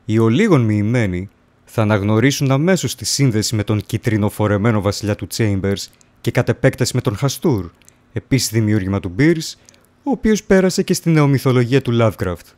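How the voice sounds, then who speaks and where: A man playing a sly, macabre coroner who hosts horror stories in a theatrical, deliberate manner. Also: on the low side, expressive, a little mellow, lightly acted. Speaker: host of horror story series. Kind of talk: theatrical horror anthology introduction.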